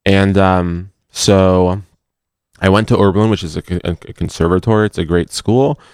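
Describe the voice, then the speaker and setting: A young man, a cantor, answering interview questions in a halting way with restarts and a slight stutter. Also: low voice, steady tone, clean tone, no room sound, studio quality.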